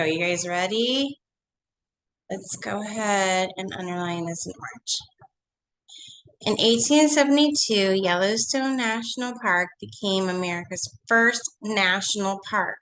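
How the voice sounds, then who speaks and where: A woman's voice: mid-range voice, fairly bright tone, rough recording. A woman teacher with a cold, her voice nasal and fading, reading a story aloud to children and asking them questions in a chatty, coaxing way during an online lesson.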